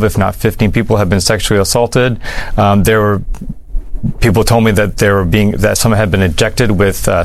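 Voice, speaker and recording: Low, creaky man recounting events haltingly. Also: slight background noise. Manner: halting, repeats words and searches for phrasing. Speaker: interview guest giving eyewitness account. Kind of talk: unscripted recounting in news interview.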